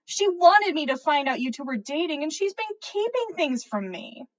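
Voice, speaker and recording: A woman plays a lovestruck schoolgirl witch from a storybook. The girl speaks in a fairly high voice, earnest and wide-eyed, questioning things as if she is always a step behind. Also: very animated, normal speed. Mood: bewildered.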